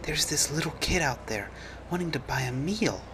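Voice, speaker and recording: A kindly young female cashier from an audio story, put on by a male reader, talks in a lifted pitch. She sounds sweet, coaxing and helpful. Some noise sits behind the voice. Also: expressive, moderate pace, lightly acted. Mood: hushed, sympathetic. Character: friendly, kindly young woman fast-food cashier.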